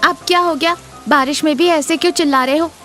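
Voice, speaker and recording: Woman voicing a high-pitched scolding village wife. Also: fairly even intonation, normal speed, soft background music, natural voice. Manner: scolding, exasperated. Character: sharp-tongued village wife, scolding yet secretly loving.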